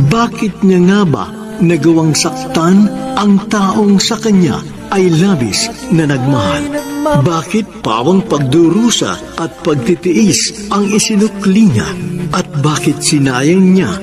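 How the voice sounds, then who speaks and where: High, dramatic Filipino male radio narrator. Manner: earnest, theatrical advice-giving. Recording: music behind the voice.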